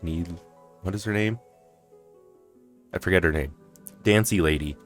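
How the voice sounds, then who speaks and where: Low-voiced man commenting on a game. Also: a little slow, soft background music. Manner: hushed, whispery, hesitant rambling. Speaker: game streamer. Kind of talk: casual playthrough commentary.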